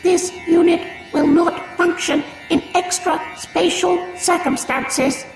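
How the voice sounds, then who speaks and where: A woman's voice: extremely high pitch, fairly even intonation, slow, reverberant, soft background music, pitched above the performer's own voice, heavily acted. A woman voicing a tiny red metal alien bug with a tinny, synthesized voice and clipped, machine-like phrasing. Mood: flat.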